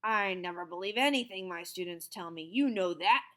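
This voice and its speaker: A woman voicing a stern, menacing old male teacher who growls and snaps his words slowly. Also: high-pitched, natural voice. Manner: growling, snapping, slow and threatening.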